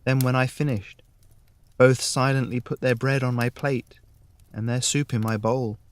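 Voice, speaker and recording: A man narrating a scripted fairy tale in the first person, measured and with dramatic pauses. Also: medium pitch, level tone, noisy background. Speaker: story narrator.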